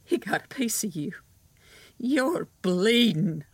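An embittered farm woman, slow and husky. She talks in folksy country phrases, scornful and defiant, in audio drama style. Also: on the high side, big pitch swings, heavily acted. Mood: pained fury. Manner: folksy rural grammar, scornful.

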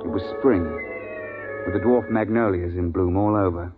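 A male actor as a low-voiced safari hunter, gruff and no-nonsense, speaking with brisk, colloquial authority. It is 1950s radio drama on a tinny, band-limited broadcast with music behind. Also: fairly even intonation, lightly acted. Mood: matter-of-fact storytelling. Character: gruff, no-nonsense British big-game safari guide recounting his hunt. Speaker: narrator and lead character.

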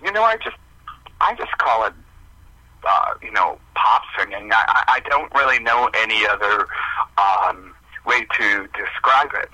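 A man's voice: fairly low pitch, expressive, measured pace, sharp tone, no room sound, rough recording. A man speaking as a musician guest in a casual interview, hesitant and self-deprecating as he explains himself.

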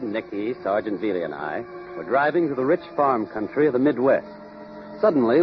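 Man playing a lively gentleman radio detective. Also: mid-range voice, natural voice. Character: brisk, take-charge gentleman detective, narrating his case. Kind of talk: 1930s-40s radio drama acting and narration. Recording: dull old radio recording, faint noise.

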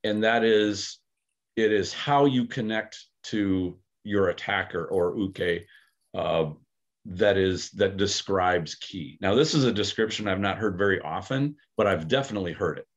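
Man, very low voice, casual podcast talk, thoughtful and halting; dull lo-fi recording. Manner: thoughtful, hesitant, many fillers and restarts. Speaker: aikido practitioner, podcast co-host.

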